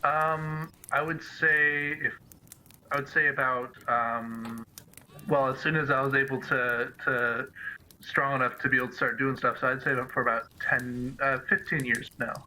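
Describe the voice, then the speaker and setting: Male game player, very sharp bright voice, halting casual answers, faint noise behind him. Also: medium pitch, steady tone, poor audio. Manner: halting, hesitant.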